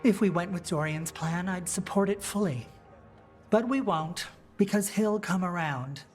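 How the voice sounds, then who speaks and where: A woman playing a principled, idealistic rebel leader in a fantasy game, speaking in an earnest, measured way. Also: fairly low pitch, darkish tone, close to an everyday voice. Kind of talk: dramatic fantasy game acting. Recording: music under the voice.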